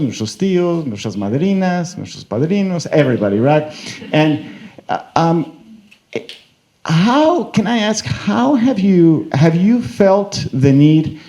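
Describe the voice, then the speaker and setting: A man's voice: a little high, warm tone. A man moderating a conference panel, posing questions in a rambling way as he thinks aloud with many fillers. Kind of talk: conversational moderating.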